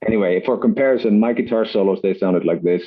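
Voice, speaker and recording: A man from Iceland, presenting his work on a podcast in a relaxed, self-deprecating way with many fillers. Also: on the low side, quick pace, dull audio, very noisy. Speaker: guest, acoustics company head.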